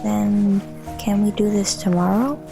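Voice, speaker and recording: A woman's voice: medium pitch, fairly even intonation, unhurried, creaky, over music. A woman playing a thoughtful young daughter in an audio drama, speaking hesitantly and wistfully. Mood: playful. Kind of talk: audio drama acting and narration.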